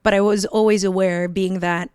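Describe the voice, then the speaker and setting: A woman's voice: medium pitch, flat delivery, normal speed, darkish tone, clear, resonant tone, no echo, clean studio sound. A woman from the Philippines speaking earnestly about her identity in an interview. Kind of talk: personal interview.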